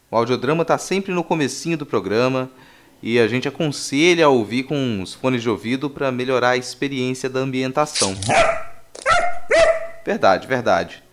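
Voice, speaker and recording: A man with a Brazilian accent hosting a podcast casually, with joking, mock-serious asides. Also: medium pitch. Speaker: podcast host. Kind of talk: casual, playful podcast presentation.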